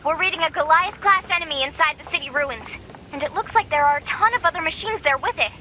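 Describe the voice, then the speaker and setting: A woman playing an earnest android military operator in a video game, giving urgent, clearly worded briefings. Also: high voice, animated, fast, sharp tone, slight background noise, rough recording. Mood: urgent, alert.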